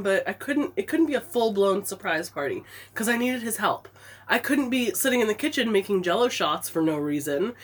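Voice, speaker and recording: Animated woman with a stuffy head cold. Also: mid-range voice. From Pacific Northwest. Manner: animated storytelling, laughing often. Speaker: podcast co-host. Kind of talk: casual chat.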